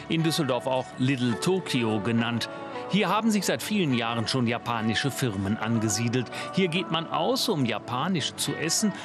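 Man narrating over prominent music. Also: medium pitch. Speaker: reporter narrating a TV report. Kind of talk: scripted narration. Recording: voice-over over loud music bed.

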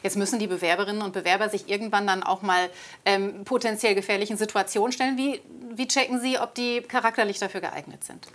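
A woman with a mid-range voice hosts a news program. She interviews a guest and moderates the segments in a brisk, conversational way. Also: mid-range voice, steady tone. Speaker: news presenter.

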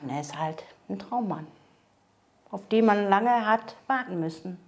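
A woman's voice: medium pitch, lively intonation, measured pace. A woman in her sixties, interviewed for TV with her partner, who talks cheerfully and laughs often. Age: 60s.

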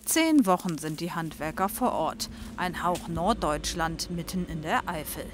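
A woman narrating a news report as a measured scripted voice-over. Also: fairly low pitch, expressive, slight background noise.

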